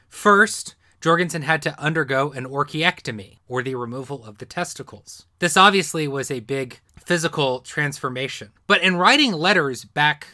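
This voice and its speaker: A man's voice: a little high, pushed and bright, no echo, studio quality. A man presenting a history talk in a semi-improvised storytelling style, explaining with emphatic phrasing.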